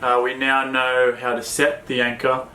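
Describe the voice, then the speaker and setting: An Australian man co-hosting a sailing chat, talking in a relaxed, plain way with many fillers. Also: mid-range voice, normal speed, roomy sound, a little hiss or hum, poor audio. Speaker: sailing vlog co-host. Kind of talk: casual Q&A chat.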